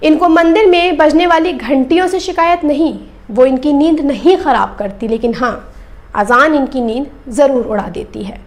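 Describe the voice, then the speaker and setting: Female news presenter with a high voice, delivering scripted commentary straight to the audience. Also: moderate pace. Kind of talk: scripted news commentary, addressing viewers directly.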